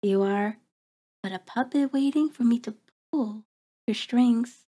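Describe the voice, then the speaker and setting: Slow, haughty vampire villainess played by a woman. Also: fairly high pitch, warm tone. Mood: mocking, menacing. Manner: slow, deliberate, mocking, haughty formal diction. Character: cold, taunting, predatory female vampire toying with prey. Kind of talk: ASMR horror roleplay, intimate scripted monologue.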